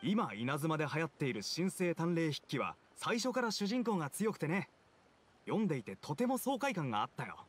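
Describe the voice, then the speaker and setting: A man's voice: fairly high pitch, big pitch swings, normal speed, slight background noise. An actor playing an opinionated townsman in a video game, explaining his tastes in an assertive, casual way. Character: opinionated adult male townsman, avid novel reader. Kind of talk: scripted video game dialogue.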